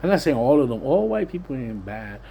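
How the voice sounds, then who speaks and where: A man's voice: medium pitch, very animated, brisk, gravelly, dark tone, a little hiss or hum. A plain-spoken, streetwise Puerto Rican man giving a casual, rambling, repetitive monologue. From Puerto Rican, living in Pennsylvania, USA. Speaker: vlogger.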